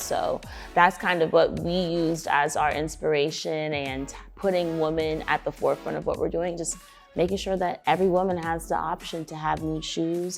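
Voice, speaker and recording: A woman's voice: low-pitched, gravelly. A young woman from Nigeria, a shoe brand co-founder, answering interview questions in an earnest, emphatic way.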